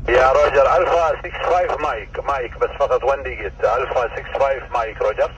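A man's voice: medium pitch, fast, fairly bright tone. A man with a Gulf Arabic accent speaking over two-way radio, chatting casually and courteously as an amateur operator. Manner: courteous, welcoming, repeats phrases. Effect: two-way radio transmission. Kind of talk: casual ham radio exchange. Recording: muffled, distant radio speaker, faint noise.

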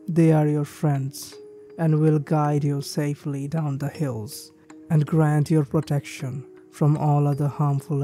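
A man reading a creepypasta list of ski resort rules in a steady, measured scripted narration. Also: fairly high pitch. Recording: faint background music.

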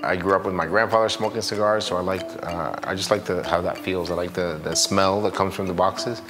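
A man in his forties from Cuba, an artist speaking in a documentary interview, reflective and halting, with frequent restarts. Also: low voice, fairly even intonation. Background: music.

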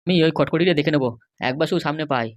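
A woman's voice: deep voice, very fast, natural voice. A woman voicing a protective, stern middle-aged father in a comic cartoon, speaking in an admonishing way. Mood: worried.

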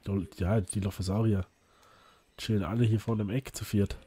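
A man who is a bit hoarse from illness, chatting casually and rambling as he hosts a let's play, with lots of fillers and restarts. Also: lowish voice, flat delivery, moderate pace, dark tone. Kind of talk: casual gameplay commentary.

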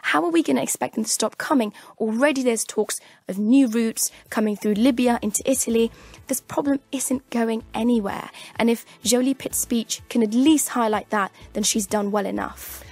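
A woman's voice: on the high side, normal speed, creaky, darkish tone, slightly rough, dry recording. A woman journalist from Afghanistan speaking as a guest on a radio discussion, talking with urgent passion and repeating words as she presses her points.

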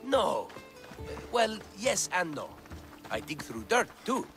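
An actor playing an eager, bookish scholar of antiquities in ancient Greece, with florid, theatrical enthusiasm in video game dialogue. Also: male voice, sits high, big pitch swings, slow pace, over music, exaggerated voice. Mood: earnest.

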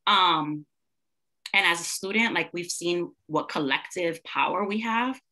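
Young woman, low pitch, bright edgy tone, reflective, video call. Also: measured pace. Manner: reflective, frequent "um" and "like". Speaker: teacher and student. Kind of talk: town hall video call. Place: indoor room at home.

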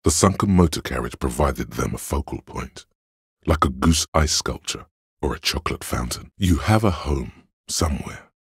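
An extremely low, creaky voice of pure logic, narrating inside a detective's head in a video game. It is husky and deliberate, coolly deductive and a little condescending. The sound is close and dry. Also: male voice, expressive, no room sound, heavily acted. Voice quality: gravelly, husky. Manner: measured, deliberate, dryly analytical. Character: detective's inner voice of logic, cool, cerebral, condescending. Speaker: voice actor.

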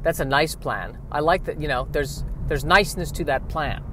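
A man's voice: a little high, moderate pace, fairly bright tone, natural voice. A man playing an anxious, exasperated straight man who stammers sarcastically in a comic sci-fi radio play. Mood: nervous. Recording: noisy recording.

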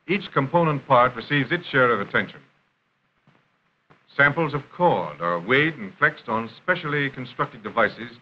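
An American man reading scripted narration for a 1930s industrial film in a formal, measured announcer style. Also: mid-range voice. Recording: dull, noisy 1930s film soundtrack.

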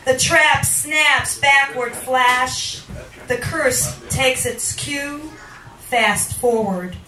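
A middle-aged woman reciting poetry live into a handheld microphone in a small bar, with dramatic, deliberate phrasing and pauses that sometimes turns sing-song. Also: sits high, sharp tone, recorded from a distance, faint music behind. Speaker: featured poet at a reading. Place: small wood-paneled bar venue, indoors. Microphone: handheld microphone, filmed from across the room. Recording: roomy live recording from afar, background noise.

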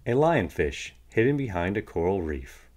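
A man narrates a children's storybook in a low voice. His pitch moves around in a lively way as he reads, giving the narration an expressive storytelling shape. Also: normal speed. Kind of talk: expressive read-aloud narration of a picture book.

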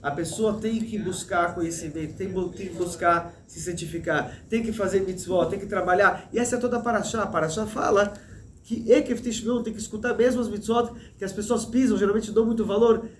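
A man in his thirties teaching a Torah lesson in an animated way, gesturing and restarting often, recorded indoors on a desk mic. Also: high voice, fairly quick, roomy sound, slight background noise. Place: small room with a plain wall, indoors. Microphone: desk mic in front, medium framing.

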